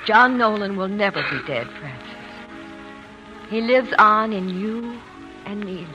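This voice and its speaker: Gentle, consoling schoolteacher. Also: female voice, mid-range voice, expressive, measured pace, dull audio. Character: gentle, consoling female schoolteacher comforting a grieving girl. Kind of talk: 1940s radio drama acting. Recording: old radio broadcast, music clearly behind.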